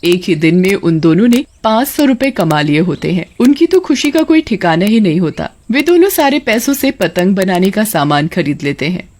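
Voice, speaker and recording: A woman's voice: mid-range voice, big pitch swings, normal speed, hardly any pauses, a little mellow. A woman reading scripted narration for a children's moral story as its storyteller.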